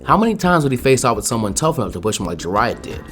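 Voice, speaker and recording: A man reading fan fiction as an expressive narrator. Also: medium pitch, rapid-fire. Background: faint music.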